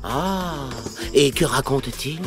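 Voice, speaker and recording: A man's voice: medium pitch, takes it slowly, music in the background, lightly acted. A man playing a wry, teasing, clever trickster in a children's cartoon. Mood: sly. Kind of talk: animated children's cartoon acting.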